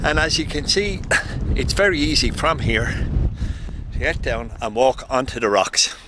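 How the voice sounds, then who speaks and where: A man's voice: mid-range voice, expressive, a little slow, noisy background. A man giving an informal, explanatory guide to locations as the host of a photography vlog.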